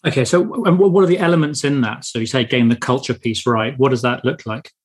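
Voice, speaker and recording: A man hosting a podcast, asking friendly, halting questions with frequent restarts. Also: mid-range voice, husky. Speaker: podcast host. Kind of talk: casual interview hosting.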